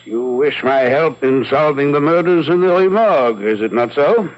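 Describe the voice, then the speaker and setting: An actor playing a brilliant, reclusive French gentleman detective in a 1970s radio drama, suave, patronizing and theatrical. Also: medium pitch, lively intonation, normal speed, natural voice. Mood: amused, superior. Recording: dull 1970s radio recording.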